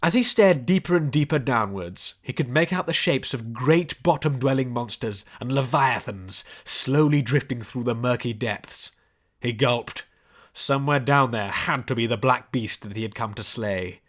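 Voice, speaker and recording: A man reading scripted story narration in a measured storybook delivery. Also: mid-range voice, a bit bright. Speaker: audiobook narrator.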